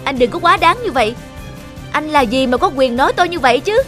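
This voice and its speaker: A man's voice: very high-pitched, expressive, fairly quick, sharp tone, faint music behind, exaggerated voice. A man voicing a weary, longing young singer in a cartoon, with a plaintive, theatrical delivery. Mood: indignant. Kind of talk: cartoon voice acting.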